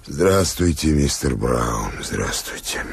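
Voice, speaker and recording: A man playing a grave, weary elderly doctor in a radio drama, speaking deliberately and gently with resignation. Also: sing-song, a little hiss or hum, full character voice. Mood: sombre, resigned. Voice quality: gravelly, husky deep voice. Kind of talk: Soviet radio drama acting.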